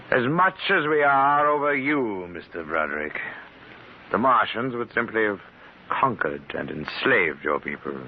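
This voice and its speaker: Smug alien conqueror, low swooping voice, formal, condescending, 1950s radio drama, dull hissy recording. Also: male voice. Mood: haughty, menacing. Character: menacing, superior Venusian alien invader, smug and cold.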